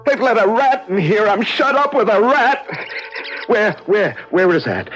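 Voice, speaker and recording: An actor playing a terrified male prisoner unraveling alone in a dark cell, halting and agitated, muttering to himself in a radio drama. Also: high voice, big pitch swings, dull audio, faint music behind, heavily acted. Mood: panicked. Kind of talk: old-time radio drama acting.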